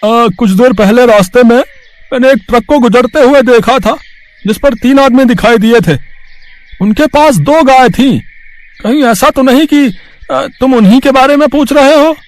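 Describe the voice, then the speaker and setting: A man's voice: very high-pitched, moderate pace, dry recording, pitched above the performer's own voice. A man voicing a helpful village bullock cart driver in a story, with a hesitant manner. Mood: concerned.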